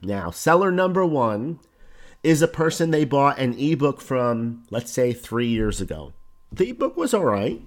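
A man with a hoarse voice hosting a solo podcast, explaining ideas in a conversational way with examples. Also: a little high, darkish tone. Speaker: podcast host. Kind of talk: solo podcast talk on online business.

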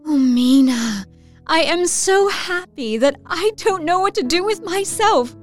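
An actress playing an excitable, romantic Victorian girl of nineteen, reading her letter effusively in an audio drama. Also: extremely high pitch, unhurried, faint music behind. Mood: giddy delight. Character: excitable, romantic nineteen-year-old Victorian young lady.

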